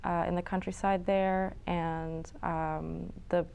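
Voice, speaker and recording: Chatty young woman filmmaker, low-ish voice. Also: slow, creaky, slight background noise. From New York City and Florence. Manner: relaxed, chatty, with frequent fillers and small laughs. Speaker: filmmaker guest. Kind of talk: casual interview answers. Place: studio.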